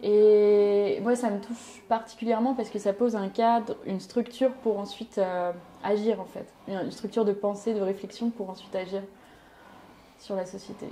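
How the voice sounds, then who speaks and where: Mellow-voiced woman, hesitant and measured. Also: mid-range voice, steady tone, slight background noise. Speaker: independent researcher. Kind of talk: vox pop interview.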